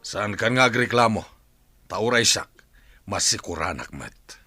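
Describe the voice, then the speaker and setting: A man's voice: on the low side, animated, measured pace, pushed and bright, husky, dry recording, faint music behind, full character voice. A man acting in an Ilocano radio drama as a hot-tempered, crooked village husband who grumbles and curses in exasperation. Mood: bitter, frustrated.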